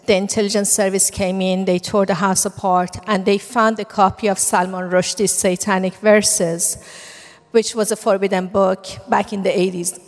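A middle-aged woman with a Persian accent speaks at a medium pitch, telling her life story in an earnest, unhurried way with frequent "um"s. Her voice rings with the long reverb of a large hall. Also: little pitch movement. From Iran. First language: Farsi. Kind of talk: personal testimony talk.

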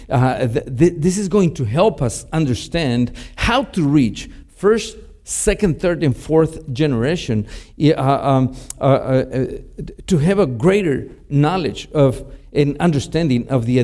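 A male pastor with a Spanish-speaking Mexican upbringing, his English carrying a clear Mexican accent. His tone is mellow and warm, at an ordinary middle pitch. He talks in a halting way, full of fillers and restarts, as he teaches. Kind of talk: teaching talk to a church audience.

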